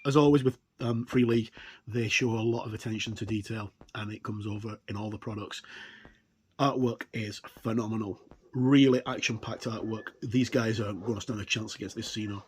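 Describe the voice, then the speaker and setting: A man giving a casual, somewhat halting unboxing commentary as a tabletop game reviewer. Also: lowish voice, steady tone, breathy edge.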